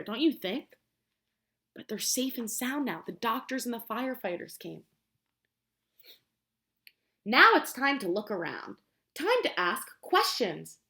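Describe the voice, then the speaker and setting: A woman teacher reading a picture book aloud to young children, explaining simply and prompting them with questions in an expressive way. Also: mid-range voice. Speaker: preschool teacher.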